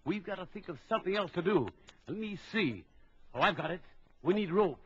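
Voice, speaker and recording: An actor playing a bold big-game trapper in an old children's adventure record, briskly instructing and giving orders. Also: male voice, high-pitched, expressive, breathy edge. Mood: urgent, resolute. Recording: dull old record with background crowd noise.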